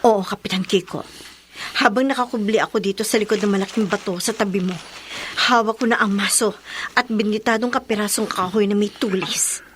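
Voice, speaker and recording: A woman's voice: mid-range voice, a little fast, slight background noise, natural voice. A woman playing a determined, brave young daughter, speaking earnestly in a horror radio drama. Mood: tense, hushed.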